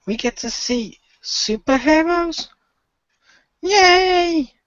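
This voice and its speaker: Girl doing naive excitable adventurer kid for a radio rp, slow and swoopy, lo-fi dry mic. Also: extremely high pitch, dry recording, voice pushed up, exaggerated voice. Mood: excited. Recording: dull lo-fi voice recording.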